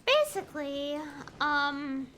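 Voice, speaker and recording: A woman game streamer chatting casually on a livestream, rambling and telling stories in halting fragments. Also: high voice, very animated, bright, forceful tone, slight background noise.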